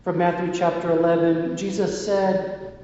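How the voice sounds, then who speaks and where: A man with a high voice and a mellow, warm tone reads scripture at an unhurried, measured pace. His voice rings through a church sanctuary with long, echoing reverb, and the sound is muffled. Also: flat delivery. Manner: slow, measured liturgical reading. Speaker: music director reading scripture. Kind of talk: scripture reading in a church service. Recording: echoey church hall, muffled.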